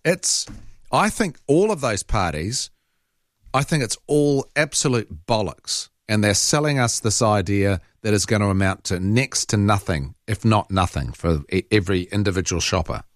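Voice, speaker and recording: A male radio host with a lowish voice chats with a caller in a loose, opinionated way. The sound is dry and studio-close. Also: expressive, moderate pace, no echo. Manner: conversational, opinionated. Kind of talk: talk radio conversation.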